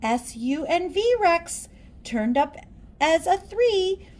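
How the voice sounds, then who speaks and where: A woman narrates a children's story aloud. Her voice is high and swoops widely in pitch, and she takes her time, shaping phrases theatrically. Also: very animated, extremely slow, a little mellow. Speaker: storybook reader.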